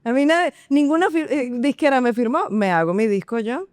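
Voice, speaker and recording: A woman's voice: high voice, fairly quick, dry recording, studio quality. A woman from Venezuela, a singer and actress, chatting casually as a guest and laughing often. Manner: lively, laughs often, playful. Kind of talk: casual interview chat.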